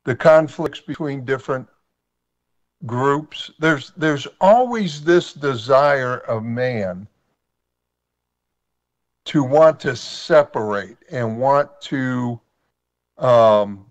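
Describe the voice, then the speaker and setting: Slow, measured male radio host. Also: medium pitch, animated, highs cut off. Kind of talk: radio talk show discussion.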